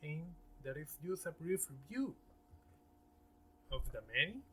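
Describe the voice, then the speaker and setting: A man presenting scripted narration in a halting way, sometimes stumbling over words. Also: on the high side, very animated, background noise.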